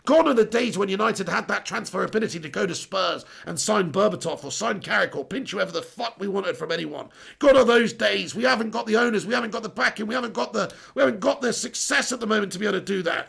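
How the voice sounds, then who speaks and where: A man hosting a live football fan stream, reading out chat comments and ranting bluntly with plenty of swearing, his voice going hoarse. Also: high-pitched, fast talker, few pauses, fairly bright tone.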